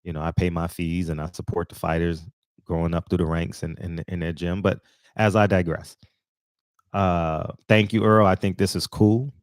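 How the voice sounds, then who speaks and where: A man's voice: deep voice, level tone, normal speed, no echo. A man in his forties giving a casual, rambling commentary monologue as host of his own channel, full of fillers and restarts. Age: 40s.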